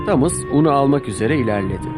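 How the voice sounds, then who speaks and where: A man narrating a scripted children's story in an expressive storytelling manner. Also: medium pitch, quick pace. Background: music.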